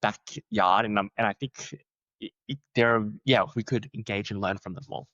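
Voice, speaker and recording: A young man who is a researcher, talking in a chatty, animated way and filling his sentences with "like.". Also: moderate pace, no room sound, muffled recording. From Australia. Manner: chatty, many "like" fillers, lively swings in pitch. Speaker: researcher, podcast guest. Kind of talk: casual conversational interview.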